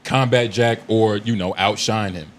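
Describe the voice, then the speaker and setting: Male podcaster, American, fairly low voice, slangy hedging explanations, faint noise on the recording. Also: steady tone, moderate pace. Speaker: podcast co-host. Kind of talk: casual podcast chat.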